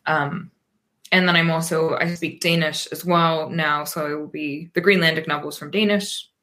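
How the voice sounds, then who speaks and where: A woman's voice: low voice, steady tone, moderate pace, pushed and bright. A woman in her thirties from Pennsylvania, a guest in a casual interview, talking thoughtfully with many ums and restarts. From Pennsylvania, USA.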